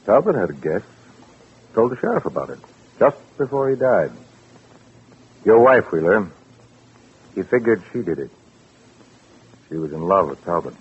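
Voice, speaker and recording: A gruff police lieutenant, very deep and heavily rasped, speaking in blunt, clipped phrases. It is vintage radio drama with a dull sound. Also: male voice, very animated, moderate pace, long pauses, heavily acted. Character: gruff, matter-of-fact male homicide police lieutenant. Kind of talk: 1940s radio drama acting.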